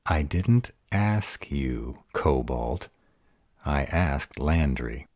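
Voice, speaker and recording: A man playing an arrogant, protective vampire lover in a roleplay audio drama, husky and deliberate. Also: expressive, a little mellow, no echo, studio quality, full character voice. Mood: haughty, irritated. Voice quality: husky, very deep voice. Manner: haughty, deliberate delivery.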